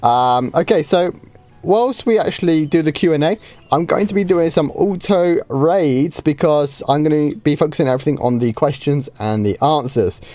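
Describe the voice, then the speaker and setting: A man, a bit hoarse from a starting cold, easily answering viewer questions on a live game stream. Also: fairly high pitch, normal speed, darkish tone, dry recording. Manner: chatty, easygoing, with frequent ums and light laughs.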